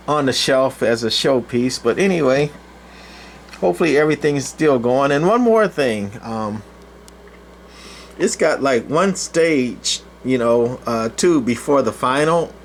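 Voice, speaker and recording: A man giving a casual, rambling, off-the-cuff demonstration as a hobbyist collector, in a halting informal way. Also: mid-range voice, animated. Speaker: channel host, vintage CB radio collector. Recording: clear background noise, room ambience.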